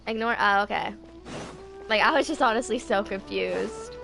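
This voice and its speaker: A woman in her twenties on a casual game livestream, chatting and reading dialogue aloud in a halting, rambling way. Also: mid-range voice, vocal fry, over music, rough recording. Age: 20s. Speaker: game streamer.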